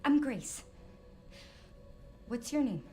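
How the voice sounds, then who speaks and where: A woman acting a nervous, gentle young police agent in a video game, speaking softly and haltingly. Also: sits high, lively intonation, soft background music. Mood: gentle, pleading. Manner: hesitant, stammering, soft-spoken.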